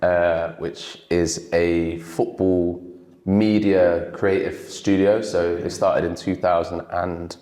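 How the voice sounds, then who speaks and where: A man with a very low voice talks freely as an interview guest. He swears casually and laughs easily. The room around him sounds echoey. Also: flat delivery, takes it slowly, mellow. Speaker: guest, creative director.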